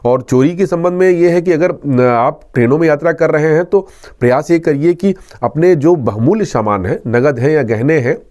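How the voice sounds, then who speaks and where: A man's voice: fairly high pitch, normal speed, hardly any pauses, a little mellow. A man answering interview questions as a police inspector, earnestly instructing and repeating his key points. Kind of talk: explanatory interview answers with safety advice.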